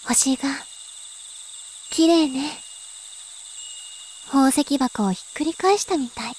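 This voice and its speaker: An actress voicing a calm, mysterious older sister who speaks softly and philosophically in a voice drama. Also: female voice, high-pitched, a little hiss or hum. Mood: gentle. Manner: slow, soft, musing. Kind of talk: voice drama acting. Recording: faint insect and cricket ambience.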